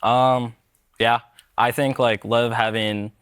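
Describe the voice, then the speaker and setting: A young man, a pro player, answering in a post-match interview, relaxed and rambling with lots of fillers. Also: medium pitch, slow pace, clear, resonant tone, no echo.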